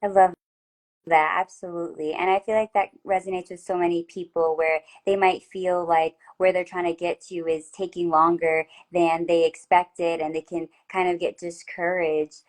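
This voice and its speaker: A woman with a fairly low voice hosts a relaxed interview over a video call. She talks in an affirming, chatty way and often stops to restart her sentences. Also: normal speed. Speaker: host.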